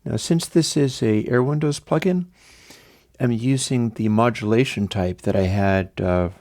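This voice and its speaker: Hoarse, gravelly-voiced man demoing software. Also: medium pitch, a little slow. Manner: relaxed, hesitant, with frequent fillers. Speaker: plugin developer demonstrating audio software. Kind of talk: casual tutorial walkthrough.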